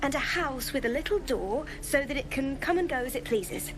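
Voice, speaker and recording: High-voiced, hopeful dreamer. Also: female voice, lively intonation, normal speed, over music. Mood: dreamy. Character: hopeful, dreamy young woman adventurer.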